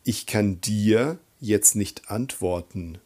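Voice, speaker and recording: A man working as a language teacher, reading example sentences in a deliberate, instructional way. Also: lowish voice, mellow. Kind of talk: scripted grammar drill, reading example sentences.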